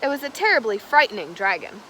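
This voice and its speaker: Woman, high-pitched, lively swooping intonation, expressive children's read-aloud, scripted narration, slightly noisy recording. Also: fast talker. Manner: expressive storytelling, stressing key words. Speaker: storybook reader.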